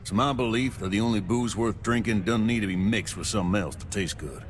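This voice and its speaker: An actor playing a gruff, guarded veteran barman in a sci-fi mining town for a video game, talking in a folksy working-class way. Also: on the low side, fairly quick, a little hiss or hum, close to an everyday voice. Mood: guarded, frank. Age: older.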